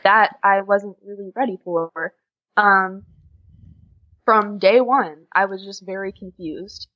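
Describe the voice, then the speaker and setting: A woman's voice: mid-range voice, slow pace. A young American woman talking casually in an interview, giving earnest, encouraging advice. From United States. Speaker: podcast guest, exchange student. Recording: dull lo-fi podcast recording.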